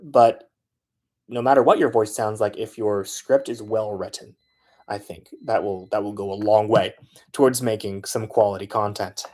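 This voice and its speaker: A man talks through his filmmaking process in a relaxed, conversational way. He often pauses on filler sounds while he works out his next point. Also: lowish voice. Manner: loose and chatty, frequent filler sounds. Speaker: transit video creator. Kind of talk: casual how-to talk.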